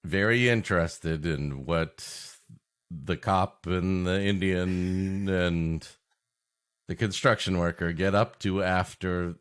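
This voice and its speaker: A man's voice: low-pitched, clean tone. A man co-hosting a comedy podcast, riffing in wry, mock-exasperated banter. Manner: mock-exasperated, wry reacting with drawn-out setups. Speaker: podcast co-host. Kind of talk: improvised comic banter.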